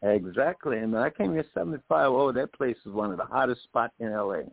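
A man's voice: medium pitch, expressive, fast talker, creaky, no room sound. A man in his seventies from Jamaica, a singer, telling anecdotes as a guest in a radio interview. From Jamaica, later the United States. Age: 70s. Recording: phone-like, thin radio call.

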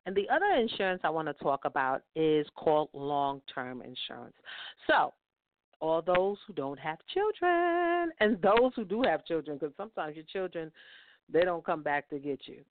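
A woman's voice: deep voice, big pitch swings, normal speed, dry recording. A woman giving financial advice on a talk show, stressing her points by repeating key phrases. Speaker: guest financial speaker. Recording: tinny phone-line sound.

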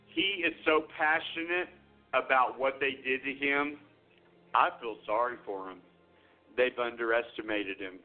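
A loud, passionate man hosting an internet radio show, his voice sitting a little higher than most men's. He stumbles over repeated words as he pushes his points. The sound is thin and tinny, like a phone line. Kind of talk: call-to-action talk show sign-off.

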